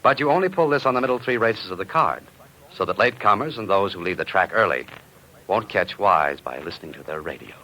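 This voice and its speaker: A man's voice: deep voice, a little fast. An actor playing a cool-headed, wry amateur sleuth who narrates his own adventure in a vintage radio drama. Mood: cool, shrewd. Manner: wry, deadpan, unruffled. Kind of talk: 1940s-50s radio detective acting and narration. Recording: noisy vintage radio recording.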